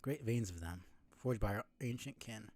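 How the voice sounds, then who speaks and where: A man's voice: on the low side, moderate pace, a little mellow, natural voice. A man voicing a weary, devout bug pilgrim from a video game, solemn and welcoming in old-fashioned phrasing. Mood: hushed, devout. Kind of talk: reading game dialogue aloud.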